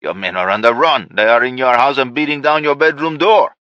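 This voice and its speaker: A man's voice: mid-range voice, a little fast, no echo, muffled recording, pitched above the performer's own voice, natural voice. A male narrator voicing a defiant cartel man with a heavy Spanish accent. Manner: firm, defiant. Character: defiant middle-aged male cartel captive.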